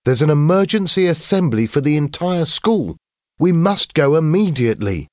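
A man's voice: on the high side, very animated, normal speed, no echo, clean studio sound, voice pushed up. A man voicing an anxious, cautious schoolboy in a storybook reading, protesting urgently. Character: James, anxious cautious schoolboy, sensible and reluctant.

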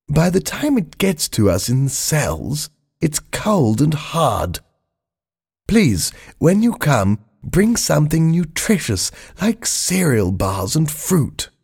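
A man's voice: on the high side, creaky, darkish tone, breathy edge, voice pushed up, heavily acted. A man voicing a polite, plaintive prisoner. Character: polite, mild middle-aged man writing from prison.

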